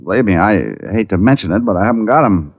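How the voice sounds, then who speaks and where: Low-voiced, wisecracking private eye, tinny. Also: male voice, animated, fast. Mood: stalling, glib. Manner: sardonic, glib. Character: wisecracking, cocky male private detective. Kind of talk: 1940s radio acting. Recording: tinny old radio recording, faint music.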